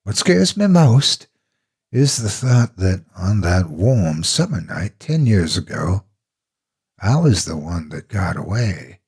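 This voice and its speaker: Really raspy guy narrating a scary story, lowish voice, super expressive, dramatic. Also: slow pace. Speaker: horror story narrator and host. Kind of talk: dramatic first-person horror storytelling.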